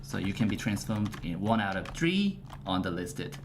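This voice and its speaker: A man hosting a casual game livestream, talking in halting, filler-heavy phrases. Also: fairly low pitch. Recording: steady background noise with typing.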